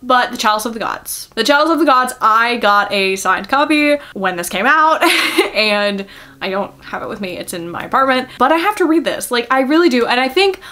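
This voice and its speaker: A woman's voice: on the high side, lively intonation, normal speed, bright, forceful tone. A young adult woman chatting casually to viewers as a book vlogger, gushing and giggly, with lots of filler words. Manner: gushing, informal, filler-heavy with frequent "like".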